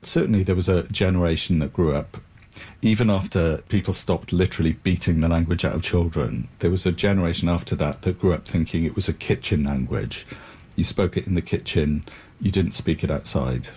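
A middle-aged man from Wales with a very low, husky voice. He tells stories thoughtfully, with small restarts and hesitations, as a guest being interviewed. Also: brisk, a little mellow. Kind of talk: reflective interview answers.

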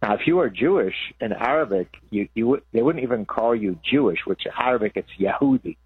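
A man's voice: medium pitch, expressive, a little fast, husky, no echo. A man in his sixties from Lebanon, explaining things as an interview guest, over a narrow phone-like line. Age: 60s. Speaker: interview guest, professor and author.

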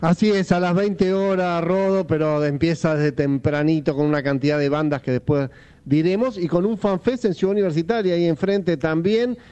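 A man giving a steady radio rundown of the day's events. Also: fairly high pitch, normal speed, smooth, soft background music. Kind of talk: radio segment preview.

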